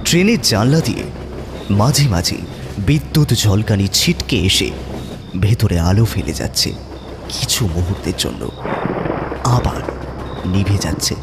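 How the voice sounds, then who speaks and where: A man narrating a horror story in a suspenseful, theatrical manner with dramatic pauses. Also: lowish voice, lively intonation, background noise.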